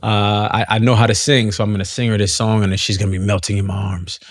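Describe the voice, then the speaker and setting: A man speaking in a relaxed, halting way as a singer being interviewed. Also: lowish voice, dry recording, studio quality. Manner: relaxed, hesitant, laughs often. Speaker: guest singer. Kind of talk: conversational interview.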